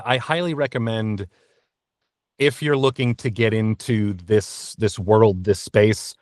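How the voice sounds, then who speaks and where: A man's voice: medium pitch, no room sound, clean studio sound. A man answering interview questions as a guest, casual and candid, often thinking aloud in informal language.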